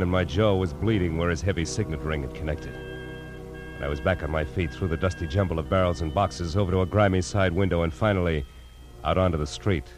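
An actor playing a hard-boiled, wisecracking private detective in 1940s radio style, with a sardonic, clipped, slangy delivery. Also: male voice, sits low, close to an everyday voice. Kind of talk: 1940s radio acting with first-person noir narration. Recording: old radio recording with music.

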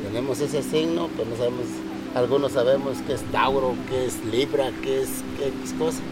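A man being interviewed, talking in a halting way that repeats and searches for words. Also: mid-range voice, fairly even intonation, over loud music. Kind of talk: casual interview. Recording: distant mic with loud outdoor noise.

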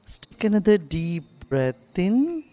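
A woman guides a meditation at a very slow, unhurried pace. Her voice is low and mellow, and she gives each instruction gently and soothingly. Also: big pitch swings.

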